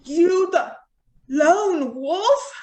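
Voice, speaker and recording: A woman's voice: extremely high pitch, animated, extremely slow, darkish tone, heavily acted. A woman playing a timid, fluttery old aunt. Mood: frightened, pleading. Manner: fluttery, pleading, hesitant. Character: frightened older aunt, fluttery and pleading. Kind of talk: amateur old-time radio play.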